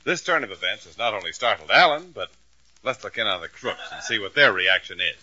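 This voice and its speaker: A man's voice: fairly low pitch, big pitch swings, a little fast, bright, forceful tone, husky, dry recording. A man announcing and narrating a 1940s-50s radio comedy in scripted style. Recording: dull old radio recording. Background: music.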